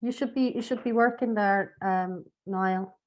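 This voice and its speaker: A woman's voice: mid-range voice, fairly even intonation, unhurried, highs cut off. A woman informally moderating an online talk, practical and thinking aloud as she goes. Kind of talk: informal event hosting. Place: online meeting. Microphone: video call.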